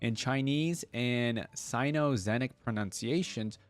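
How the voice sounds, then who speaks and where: The man you'd hear on a fan's reaction channel, reading a wiki out loud in a dry, close room and chatting through his thoughts. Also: medium pitch, unhurried, dry recording, soft background music. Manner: casual asides and musing aloud. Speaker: reaction video host. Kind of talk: reading wiki text aloud with casual commentary.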